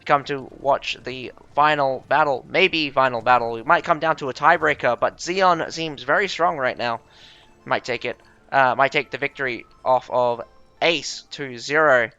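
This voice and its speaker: A young man casts a live strategy game with a bright, crisp, smooth voice. His talk is chatty and self-deprecating. The sound is dry and a little dull, with faint music underneath. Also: mid-range voice, moderate pace, no echo. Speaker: game caster and streamer. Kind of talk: live game commentary with chat banter.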